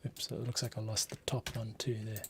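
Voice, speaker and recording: A man giving a casual screencast tutorial, thinking aloud and muttering to himself with pauses as he works. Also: on the low side, completely flat delivery. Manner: muttering to himself, pausing while working. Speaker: composer, streamer host. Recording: faint noise, keyboard and mouse clicks. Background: typing, mouse clicks.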